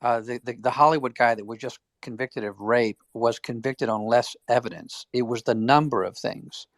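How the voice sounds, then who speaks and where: A man's voice: medium pitch, normal speed, no echo, clean studio sound. A man joining a live audio chat to make an opinionated point, arguing it step by step.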